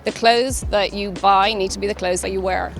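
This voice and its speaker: A woman's voice: mid-range voice, steady tone, normal speed, gravelly, loud music behind the voice. A woman with an Irish accent, a personal stylist explaining her work in an interview. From Ireland.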